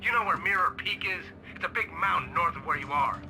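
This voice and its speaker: A man's voice: high-pitched, brisk, pushed and bright, slight background noise, full character voice, low-quality recording. A man playing a menacing, taunting kidnapper in a video game, bossy and threatening as he makes demands. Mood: curt, commanding. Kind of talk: acted video game dialogue.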